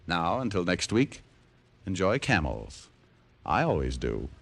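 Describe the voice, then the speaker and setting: A man's voice: low voice, wildly swooping pitch, unhurried, gravelly. A man reading a scripted sponsor message in 1950s radio style. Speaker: sponsor announcer.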